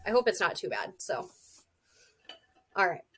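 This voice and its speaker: A woman in her thirties co-hosting a casual podcast chat, a little congested from a cold, blunt and quick to swear. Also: mid-range voice, lively intonation, a bit bright, husky. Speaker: podcast co-host.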